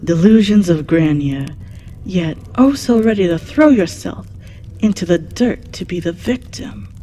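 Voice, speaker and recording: A woman's voice: fairly low pitch, animated, unhurried, dark tone, slight background noise. A woman playing a jaded, mocking keeper of the underworld, brash, foul-mouthed and drawling, in an audio drama. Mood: scornful, exasperated. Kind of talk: scripted audio drama monologue.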